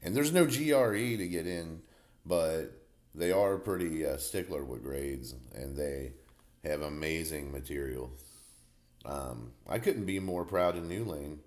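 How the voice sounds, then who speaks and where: Deep-voiced man in his forties, chatting. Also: animated, measured pace, a little mellow. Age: 40s. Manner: loose and talkative, slangy, laughs often. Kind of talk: casual chat. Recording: roomy echo.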